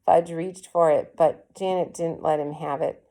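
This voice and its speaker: A woman reading a children's story aloud as an expressive storyteller. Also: deep voice, unhurried. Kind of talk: expressive children's book narration.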